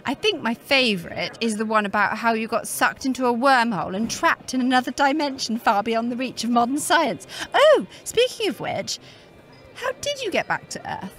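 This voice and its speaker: High, gushing, snobbish society lady. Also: sing-song, normal speed, a bit bright, soft background music. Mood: gushing, curious. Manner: gushing, genteel, theatrical. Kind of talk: comic sci-fi audio drama acting.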